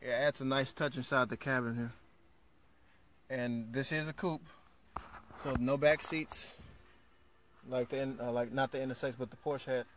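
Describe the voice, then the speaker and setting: Man touring a car, flat-voiced. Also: medium pitch, a little hiss or hum. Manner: flat, unhurried, reads specs aloud with fillers. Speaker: car vlogger. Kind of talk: casual walkaround commentary. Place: car showroom, indoors.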